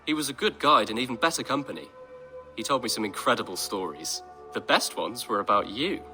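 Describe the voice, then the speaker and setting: A friendly Viking traveler from a game. He sounds genial and reflective at an average pitch. Music is clearly audible beneath him. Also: expressive, brisk, sharp tone. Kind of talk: video game cutscene acting.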